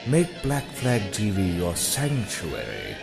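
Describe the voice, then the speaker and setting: Slow, low-voiced male horror trailer announcer. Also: wildly swooping pitch, vocal fry. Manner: slow, menacing, theatrical. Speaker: promo announcer. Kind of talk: dramatic horror channel trailer voice-over. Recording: loud music under voice.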